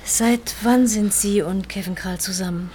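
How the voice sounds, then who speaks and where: An actress playing a composed, considerate police detective in a naturalistic crime drama. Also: female voice, medium pitch, a little slow, background noise, natural voice. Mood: gentle, official.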